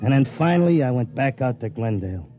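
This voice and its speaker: An actor playing a stubborn, plain-spoken racetrack gambler with slangy, blunt talk in a 1950s radio drama. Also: male voice, mid-range voice, sing-song, fairly quick, natural voice. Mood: frustrated, narrating. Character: streetwise male horse-racing gambler, stubborn, plain-spoken. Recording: dull 1950s radio recording, music behind.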